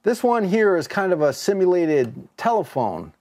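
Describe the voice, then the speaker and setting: A man's voice: high-pitched, sing-song, moderate pace, a little mellow. A middle-aged man giving a casual, conversational hands-on demonstration as a video host. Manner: conversational, explanatory. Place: home studio workshop, indoors.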